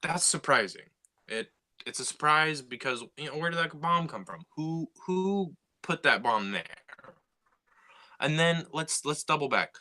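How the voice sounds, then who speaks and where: A man's voice: on the high side, animated, a little slow, sharp tone. A man co-hosting a casual podcast, explaining his ideas and building his points step by step. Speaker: podcast co-host and writer.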